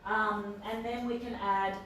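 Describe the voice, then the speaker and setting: A woman with a quiet voice co-hosting a fashion live stream, chatting casually with many fillers. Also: mid-range voice, fairly even intonation, measured pace, very echoey, recorded from a distance, slight background noise, poor audio. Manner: chatty, hesitant, lots of fillers. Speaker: fashion boutique co-host. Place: echoey room. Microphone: no mic, far from laptop camera.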